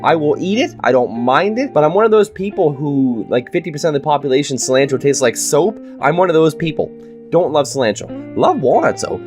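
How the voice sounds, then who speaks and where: A YouTuber with a stuffed-up nose, casually reading quiz questions aloud and giving chatty, opinionated answers. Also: medium pitch. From Canada. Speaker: YouTuber host.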